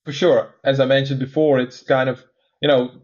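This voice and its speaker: A man with a Dutch accent, a guest expert explaining technical systems in conversation, pausing often with fillers. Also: mid-range voice, little pitch movement, darkish tone, lo-fi sound. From Netherlands. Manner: many "uh" fillers, measured explaining.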